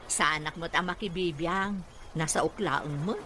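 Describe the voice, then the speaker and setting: A woman's voice: low-pitched, moderate pace, bright, forceful tone. A woman with a Filipino accent playing a nosy village gossip in a conversational audio drama. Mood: gossipy. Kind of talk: conversational Ilocano radio drama acting. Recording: faint background noise.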